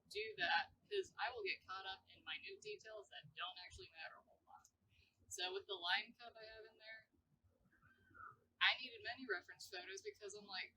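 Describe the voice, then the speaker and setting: A woman's voice: very high voice, vocal fry, bright, forceful tone, recorded from a distance, a little hiss or hum, poor audio. A woman, a ceramic artist, chatting playfully about her work and acting out her own thoughts. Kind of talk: casual interview chat. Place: library exhibit space.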